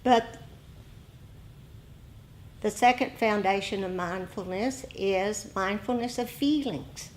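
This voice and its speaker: A woman teaches meditation in a dharma talk. She speaks very slowly, in short deliberate phrases with pauses. There is steady background noise in the recording. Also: medium pitch.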